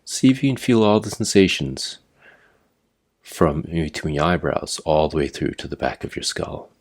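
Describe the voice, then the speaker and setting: A man with an extremely low-pitched voice leads a guided meditation. He speaks as an instructor, giving measured, step-by-step directions in a plainly instructional way. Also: expressive. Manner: measured, imperative step-by-step guidance.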